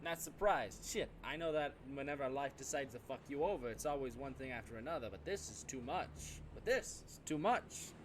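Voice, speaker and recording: A man's voice: mid-range voice, lively intonation, normal speed, hardly any pauses, a little hiss or hum, natural voice. A man voicing a loyal, supportive friend on a phone call in a visual novel, emphatic and urgent. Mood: sympathetic, indignant. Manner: emphatic, urgent, raised delivery.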